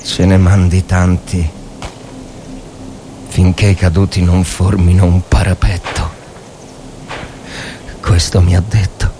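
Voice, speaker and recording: An actor playing a bitter, anguished Italian officer of the First World War in a dramatic monologue, halting and repeating words. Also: male voice, low-pitched, little pitch movement, background noise. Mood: bleak, brooding. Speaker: actor reading a short story. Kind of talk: dramatic literary monologue, radio reading.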